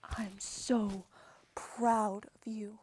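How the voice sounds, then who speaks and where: A woman voicing a tender, proud deaf father in a dramatic monologue, breathy and whispered, speaking haltingly word by word. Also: a little high, very animated, slight background noise, exaggerated voice, rough recording. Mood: tender pride.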